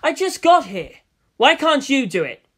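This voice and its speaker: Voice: high voice, sing-song, a little slow, a bit bright, voice pushed up, full character voice. A narrator voicing a guarded, challenging teenage boy with a pointed manner in a read story. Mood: angry, defiant. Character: guarded, sharp-tongued teenage boy, defiant toward his father.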